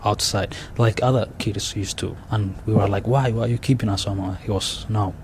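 A low-pitched man with a Somali accent speaks haltingly, stopping to restart and filling gaps with "you know." Faint noise sits under the recording. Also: steady tone. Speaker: interviewee. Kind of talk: oral history interview.

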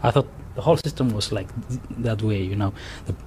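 A low-pitched man with a Somali accent speaks haltingly, stopping to restart and filling gaps with "you know." Faint noise sits under the recording. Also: mellow, low-quality recording. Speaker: interviewee. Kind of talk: oral history interview.

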